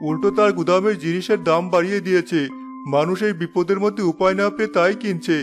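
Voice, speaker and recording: A man's voice: sits high, fairly even intonation, fast talker, lightly acted. A man voicing a worried, fed-up villager in a cartoon, forthright in manner. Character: worried, plain-spoken male villager, fed up. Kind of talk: cartoon voice acting. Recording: faint music behind the voice.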